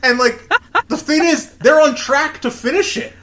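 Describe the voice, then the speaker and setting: A man's voice: very high voice, normal speed, over a noisy crowd. A man in his late twenties chatting casually as a podcast co-host, opinionated and given to swearing. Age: late 20s. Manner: opinionated, profane, animated when worked up. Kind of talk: casual chat.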